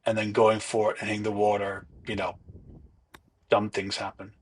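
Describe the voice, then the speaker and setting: A man narrating his own golf round in a relaxed, chatty, self-deprecating way. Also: lowish voice, moderate pace, breathy edge. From not from Ireland. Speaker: golf vlogger. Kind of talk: casual voice-over commentary.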